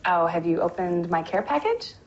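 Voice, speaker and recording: A caring, knowing stepmother, lively pitch. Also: normal speed, highs cut off, slight background noise. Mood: gently teasing. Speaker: actress in a TV film. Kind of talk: naturalistic film dialogue. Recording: music behind dialogue.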